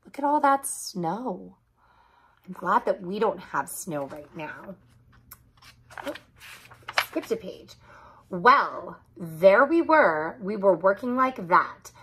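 Slow, bright-voiced woman reading to children. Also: medium pitch, sing-song, slight background noise, rough recording. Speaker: children's story reader. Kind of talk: expressive read-aloud narration for children. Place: small furnished room indoors.

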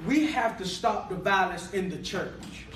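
A man preaching a sermon in a church hall, calling for responses and rising to shouts, recorded by a far-off camera. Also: high voice, a little slow, a little hiss or hum. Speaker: pastor.

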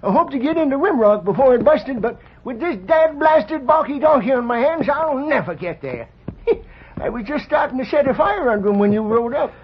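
An actor playing a cranky, stubborn old prospector with an American accent in a folksy, blustering vintage radio western style. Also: male voice, very high-pitched, animated, moderate pace. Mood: wary, grumbling. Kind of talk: 1940s radio western acting. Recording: dull, noisy vintage radio recording.

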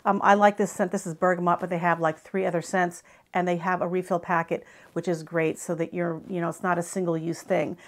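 A woman's voice: on the low side, level tone, fairly quick, few pauses. A woman in her fifties with an American accent, chatting and explaining as she reviews travel products to camera. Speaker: travel gear vlogger. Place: small furnished living room, indoors.

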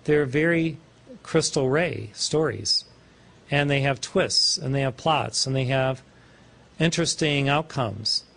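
A man's voice: mid-range voice, slow pace, gravelly. Older man giving a playful, rambling sermon. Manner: warm, playful, digressive, chuckling at his own wordplay. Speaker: spiritual teacher. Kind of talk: informal sermon. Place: small studio before a backdrop. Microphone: lapel mic.

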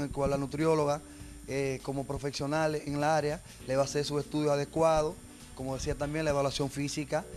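A young man speaks on a TV studio set, holding a handheld mic. His voice sits fairly high for a man, and soft music plays behind him. He explains things earnestly, pausing often. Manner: earnest, explanatory, with frequent hesitations. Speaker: gym trainer. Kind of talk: studio TV interview.

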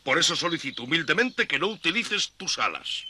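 An actor playing a formal, military ant colonel, stern but courteous, in a dubbed children's cartoon. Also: male voice, a little high, big pitch swings, brisk, bright, forceful tone, slight background noise, full character voice. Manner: formal, military, barking commands.

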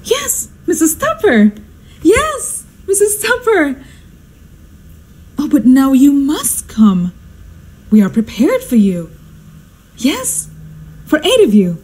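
A woman's voice: high-pitched, wildly swooping pitch, slow, long pauses, a little mellow, heavily acted, low-quality recording. A woman acting a flustered society hostess in a radio play, gushing and insistent with formal politeness. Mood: pleading, excited. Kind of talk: amateur radio drama acting.

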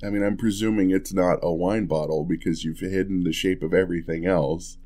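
Man acting a deep-voiced, affectionate young husband. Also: steady tone, fairly quick. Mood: playful, admiring. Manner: soft, hesitant, chuckling, tender. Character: affectionate, playful young husband on Christmas morning. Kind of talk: ASMR boyfriend roleplay, intimate casual chat.

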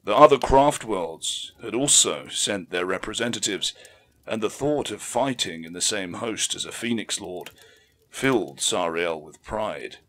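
Male audiobook narrator, lower-pitched voice, dramatic scripted delivery for action fiction. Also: animated, unhurried, a bit bright.

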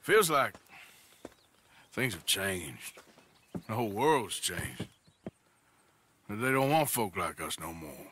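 A forceful, slow-paced American voice with lots of swing in pitch, over clear noise. a blunt, sardonic outlaw enforcer in a western game. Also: male voice, big pitch swings, exaggerated voice. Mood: weary, scornful. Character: gruff, sardonic male outlaw enforcer in his thirties. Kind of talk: western video game acting.

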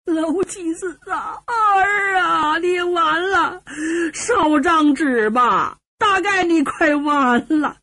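A woman storyteller voicing an old father who wails and sobs a drawn-out lament for his doomed son. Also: extremely high pitch, pushed and bright, heavily acted. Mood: mournful taunting. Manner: drawn-out sobbing lament with stretched cries. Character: wailing old father mourning his doomed son, mocking ghostly mourner.

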